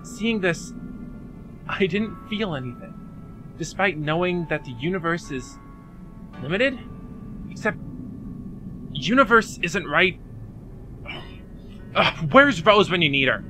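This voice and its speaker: A man's voice: high-pitched, lively intonation, slow pace, long pauses. A man playing an anguished, self-doubting young man in a fan audio drama, rambling and self-deprecating as he confides in a friend. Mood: pensive. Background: music.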